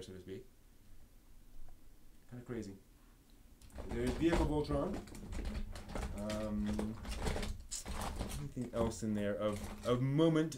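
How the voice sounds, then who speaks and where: Guy rambling on his live stream, normal pitch, kinda trails off, noticeable room noise behind him. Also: darkish tone. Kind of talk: casual unscripted live stream chat. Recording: noisy room background.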